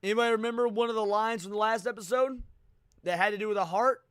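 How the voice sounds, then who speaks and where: A male streamer with a high, clear voice reacts casually as he watches along with his audience. His talk is slangy and profane, and the sound is dry and close to the mic. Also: fairly even intonation, fast, a bit bright, no echo. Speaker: livestream host. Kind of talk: casual live reaction commentary to horror series.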